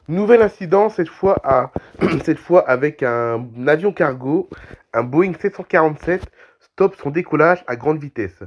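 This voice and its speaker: A man with a cold reads aviation news aloud, clearing his throat and restarting phrases. His pitch is mid-range and his pace is steady. The recording is a little dull. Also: unhurried, slight background noise. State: has a cold, coughing. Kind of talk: reading aviation news with commentary.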